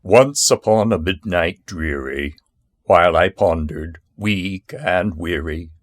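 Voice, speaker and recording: A man giving a theatrical, intense recitation of a poem in a deliberate manner. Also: deep voice, no echo, clean studio sound. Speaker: poetry reader. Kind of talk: dramatic poetry recitation.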